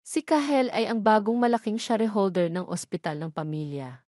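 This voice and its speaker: A woman's voice: medium pitch, moderate pace, warm tone, no room sound. Filipino woman narrating, dry close recording. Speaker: story narrator. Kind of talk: scripted narration of a romance drama.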